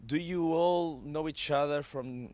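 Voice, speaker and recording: A man hosting a casual group interview at an event. He talks in a lively, halting way full of fillers and restarts. The sound is dry and close, with little room around it. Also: fairly high pitch, no echo. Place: event venue.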